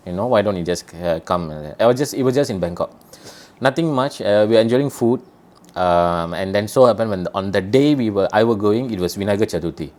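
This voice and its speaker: A man's voice: fairly low pitch, lively intonation, fairly quick, darkish tone. A man from Malaysia telling travel stories in a casual chat, rambling with many restarts.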